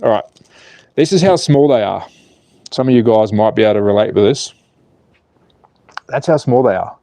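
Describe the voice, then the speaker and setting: A man's voice: on the low side, animated, moderate pace, mellow, no echo. A man in his forties with an Australian accent, hosting a casual slot car livestream, cheeky and full of swearing while he reads out chat and works on a car. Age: 40s. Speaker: slot car channel host.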